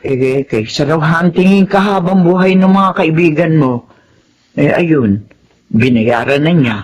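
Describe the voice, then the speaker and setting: A woman's voice: sits low, unhurried, darkish tone. An actress playing an apologetic, long-suffering motherly woman of the household in a Filipino radio drama, with a Filipino accent. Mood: resigned.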